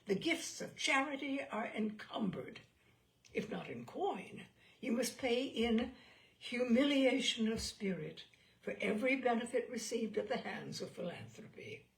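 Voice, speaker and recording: Slow, lilting woman storyteller with creaky voice. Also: a little high, low-quality recording. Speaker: storyteller reading a short story aloud. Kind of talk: expressive literary story narration.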